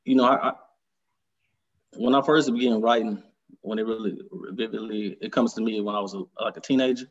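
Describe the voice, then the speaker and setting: Husky man, reflective. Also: fairly low pitch, fairly even intonation, lo-fi sound. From Detroit, Michigan. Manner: reflective and earnest, halting. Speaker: author, interview guest. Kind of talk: conversational interview.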